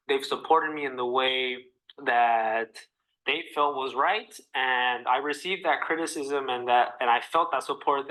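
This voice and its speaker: A young man from Mexico with a Mexican accent, earnestly giving a class presentation in a halting way over a video call. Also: mid-range voice, sharp tone, poor audio. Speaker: student presenter.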